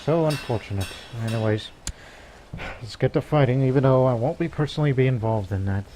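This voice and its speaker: Brief: male let's player, mid pitch, chatty commentary, clear noise behind. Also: a little fast, warm tone, low-quality recording. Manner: musing, laughing asides, talks as his character. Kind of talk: casual gameplay commentary.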